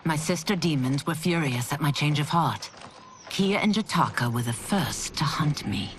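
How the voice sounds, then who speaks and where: An actress playing a repentant demon warrior in a video game, solemn and resolute. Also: female voice, low voice, animated, normal speed, a little hiss or hum. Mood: solemn, earnest.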